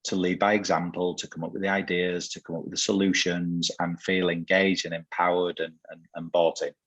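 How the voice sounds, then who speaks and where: A deep-voiced man hosts a relaxed business interview, his tone low and a little husky. He talks earnestly and circles back on his words as he builds each point. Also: a little fast. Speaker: host. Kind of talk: conversational interview.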